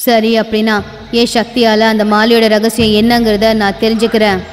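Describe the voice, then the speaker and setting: A woman voicing a stern but reassuring old sorceress grandmother in an animated horror bedtime story, giving firm orders and solemn incantations. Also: on the high side, normal speed, long reverb tail, slight background noise, lightly acted. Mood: commanding.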